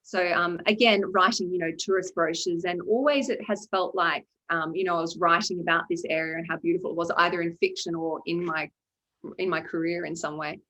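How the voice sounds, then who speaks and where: A woman in her forties chats as an interview guest about her writing life. She talks in a reflective, easygoing way with plenty of ums, her voice sitting a little low. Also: fairly even intonation, fairly quick, fairly bright tone, poor audio. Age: 40s. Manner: reflective, chatty, many ums. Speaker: debut novelist guest. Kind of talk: conversational interview.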